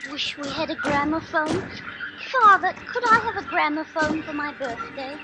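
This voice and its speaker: A woman's voice: high-pitched, animated, normal speed. A woman playing an eager, wistful little child in a 1950s radio drama, with a pleading manner. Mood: wishful. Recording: very noisy vintage radio broadcast.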